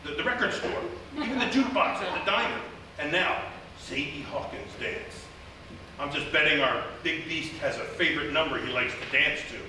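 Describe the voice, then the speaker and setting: An actor playing a cocky, take-charge small-town police chief in a live comedic radio play. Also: male voice, on the high side, normal speed, sharp tone. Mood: confident, scheming. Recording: echoey live theatre from far off, faint noise.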